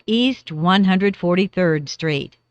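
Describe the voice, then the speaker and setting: A woman reading scripted transit announcements in a formal, clearly enunciated manner. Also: lowish voice, slow, no echo.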